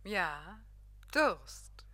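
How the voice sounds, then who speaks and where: A woman's voice: on the low side, very animated, extremely slow, sharp tone, dry recording, heavily acted. An actress playing an enchanted, dreamy woman tourist in a radio play, answering in brief, wondering phrases. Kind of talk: German radio play acting.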